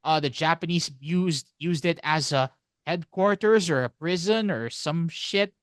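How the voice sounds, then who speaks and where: Man, higher-pitched, smooth clear tone, dry sound, casual podcast explainer, halting, repeats phrases. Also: no room sound. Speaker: podcast co-host.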